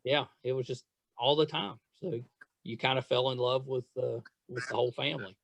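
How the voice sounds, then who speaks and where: A man's voice: medium pitch, fairly even intonation, fairly quick, gravelly, fairly bright tone. A middle-aged man hosting a casual interview, enthusiastic and rambling in the way he talks. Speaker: podcast host interviewing a guest. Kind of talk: casual chat.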